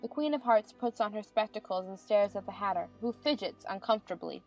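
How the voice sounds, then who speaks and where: A woman's voice: mid-range voice, animated, brisk, a little mellow, faint music behind, rough recording. A woman reading scripted stage narration for a dramatized audiobook.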